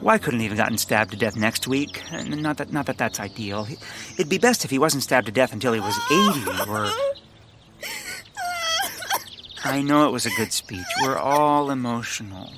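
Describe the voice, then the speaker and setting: An actor playing an earnest, pompous, bumbling bird enthusiast in a comedic period audio drama, grandiose and stammering. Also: male voice, mid-range voice, lively intonation, brisk, very noisy, close to an everyday voice. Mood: sheepish, earnest.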